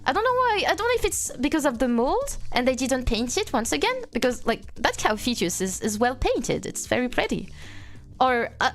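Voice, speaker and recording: A woman's voice: high-pitched, sing-song, moderate pace. A young woman in her twenties from France with a French accent, chatting playfully on a live stream and answering chat. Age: 20s. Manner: playful, reads chat aloud, frequent laughter.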